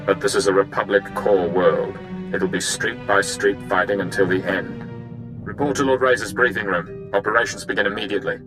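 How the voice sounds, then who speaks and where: A man's voice: fairly low pitch, close to an everyday voice, low-quality recording. A man playing a stern, dismissive Imperial captain with a clipped, formal manner in a video game.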